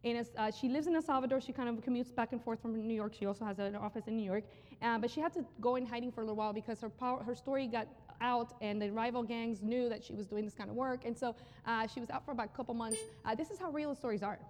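A woman giving a live conference talk on brand storytelling, energetic and conversational, often repeating phrases for emphasis. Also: on the high side, quick pace, slight background noise. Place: large hall with an audience. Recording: long hall reverb.

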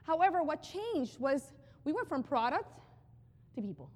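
A woman giving a live conference talk on brand storytelling, energetic and conversational, often repeating phrases for emphasis. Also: high-pitched, moderate pace. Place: large hall with an audience. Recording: long hall reverb.

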